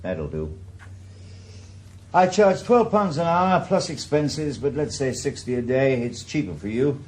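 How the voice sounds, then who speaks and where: A wry worn-out ex-cop PI. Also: male voice, mid-range voice, very animated, a little slow, a little mellow, a little hiss or hum. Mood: dry. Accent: Welsh. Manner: dry, sardonic. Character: wry, world-weary middle-aged male ex-cop private eye. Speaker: retired detective turned private investigator. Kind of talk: 1980s radio drama acting with first-person narration.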